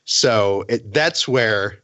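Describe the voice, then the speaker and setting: A man's voice: lowish voice, extremely slow, fairly bright tone, no echo. A man in a casual gaming podcast discussion, speaking in an emphatic, opinionated and hyperbolic way. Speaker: podcast panelist.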